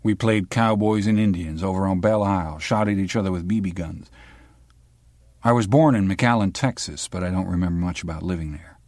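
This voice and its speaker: A guarded police lieutenant with a dry, deadpan way of answering, voiced by a man reading the story. His voice sits low and level, recorded close and dry. It comes from an audiobook-style reading. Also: fairly quick, no room sound.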